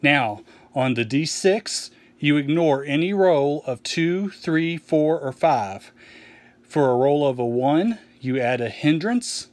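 A man explaining a tabletop game's core rules in a casual walkthrough, relaxed with frequent ums and restarts, recorded over an open rulebook on a table. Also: a little high, slow, dry recording. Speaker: game publisher explaining rules.

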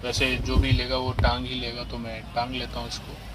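A man's voice: medium pitch, completely flat delivery, fairly quick. A man casually demonstrating and reviewing a product in plain, repetitive explanations. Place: small cluttered shop, indoors. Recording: distant mic, loud vehicle-like noise.

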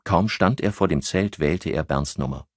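A man with a husky voice narrating a crime novel as an audiobook. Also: low voice, normal speed, no echo, clean studio sound.

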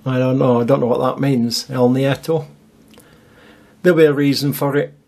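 A man's voice: medium pitch, fairly even intonation, normal speed, vocal fry, a little mellow. A man narrating his own seaside video in a casual, chatty way with wry asides. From Scotland. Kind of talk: casual voice-over commentary.

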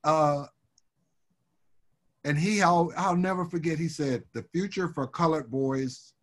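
A man's voice: on the high side, unhurried. An older man from Birmingham, Alabama, telling easygoing, rambling stories as a guest over a video call from home. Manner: easygoing, rambling storyteller with lots of "you know". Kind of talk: casual interview storytelling. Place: home room with wood shutters and framed pictures.

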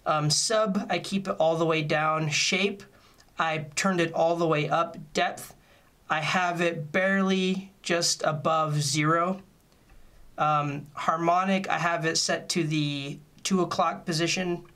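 A man presents a casual step-by-step tutorial. His voice sits a little high for a man and has a bright, forward edge. He explains each point plainly, as an informal instructor would. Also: steady tone, slow. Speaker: music gear tutorial presenter.

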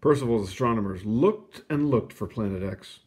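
A man reading a children's storybook aloud in an expressive, explanatory way, with casual asides. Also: fairly low pitch, normal speed. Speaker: storybook reader.

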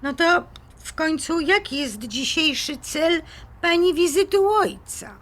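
A woman's voice: very high voice, animated, measured pace, a bit bright, exaggerated voice. An actress playing a proud, composed woman defending her home in an audio drama, formal, firm and icily polite.

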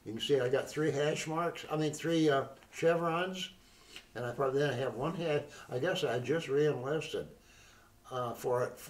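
An elderly man in an oral history interview, recalling his past in a halting, rambling way with many restarts. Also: medium pitch. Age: older. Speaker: veteran interviewee. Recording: roomy, distant mic.

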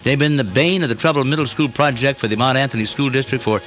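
A man delivers a scripted radio commentary in a mid-pitched voice. He is emphatic and opinionated, in the manner of a local news reporter. Soft music runs beneath the broadcast. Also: quick pace.

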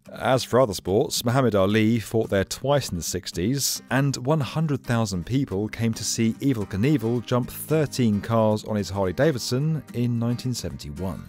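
British male presenter, 30s, low voice, clear explainer read over soft guitar music. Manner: clear, upbeat explainer delivery. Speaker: channel presenter. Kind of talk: scripted documentary narration. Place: home studio with bookshelves.

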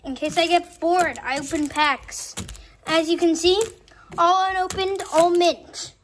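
A female performer voicing an excitable, boastful toy animatronic with showy, emphatic delivery in a homemade play. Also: extremely high pitch, a little slow, a little hiss or hum. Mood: boastful, excited.

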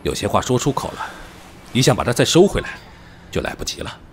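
A man's voice: medium pitch, very animated, fast, a little hiss or hum, natural voice. A man playing a stern, cautious middle-aged police officer and father, admonishing and emphatic, in an audio drama. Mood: reproachful. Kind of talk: Chinese audio drama acting.

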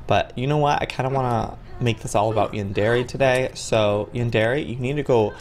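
A man in his twenties giving playful gameplay commentary, excitable, with exaggerated reactions. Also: mid-range voice, moderate pace, a crowd in the background. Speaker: gaming video host.

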